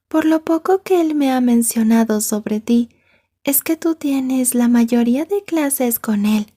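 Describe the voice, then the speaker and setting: Woman acting a high, breathy, sweet schoolgirl girlfriend. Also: full character voice. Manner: soft-spoken, dropping into whispers. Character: sweet, bookish schoolgirl girlfriend with a possessive edge. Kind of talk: ASMR roleplay acting in Spanish.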